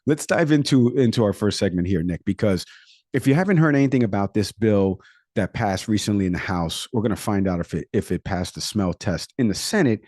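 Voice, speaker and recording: A man's voice: lowish voice, lively intonation, fairly quick, darkish tone, no room sound, clean studio sound. A man with a Puerto Rican accent co-hosting a podcast, talking casually and rattling off statistics with frequent fillers. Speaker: podcast co-host; product manager.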